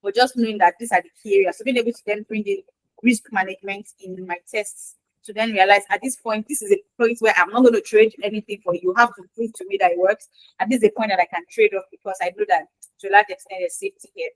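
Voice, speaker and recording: A woman, a Yoruba speaker, answering interview questions in a lively, chatty way with acted-out quotes. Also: medium pitch, quick pace, no room sound, low-quality recording. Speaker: guest expert in quality assurance.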